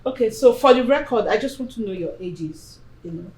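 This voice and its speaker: A woman's voice: on the high side, expressive, a little fast, darkish tone, low-quality recording. A woman hosting a discussion talk show, addressing guests and viewers.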